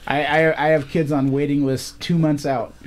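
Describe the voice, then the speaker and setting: A man's voice: a little high, flat delivery, normal speed, a little hiss or hum. A man co-hosting a podcast in a casual, conversational way. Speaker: podcast co-host. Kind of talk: casual panel chat.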